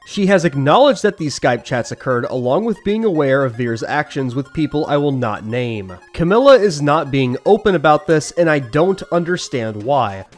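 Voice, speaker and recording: A man hosting a scripted commentary video in a blunt, critical manner. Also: fairly high pitch.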